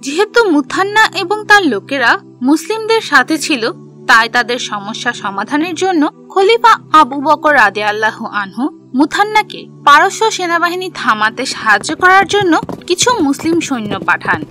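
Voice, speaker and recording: A woman narrating a scripted history story for children, telling it in simple, deliberate phrases. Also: sits high, very animated, sharp tone, soft background music.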